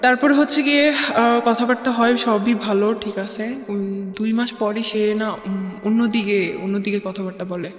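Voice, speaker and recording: A woman with a Bangladeshi accent tells her own story as an interview guest, haltingly, repeating phrases and mixing in English words. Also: medium pitch, flat delivery. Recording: echoey reverb, faint music bed. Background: faint music.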